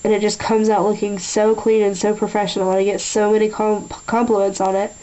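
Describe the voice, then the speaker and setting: A young woman talking through a nail tutorial in a chatty way, a little nasal from a sinus infection, often clearing her throat. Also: medium pitch, level tone, brisk, noisy background. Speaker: beauty vlogger. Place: small room at a table.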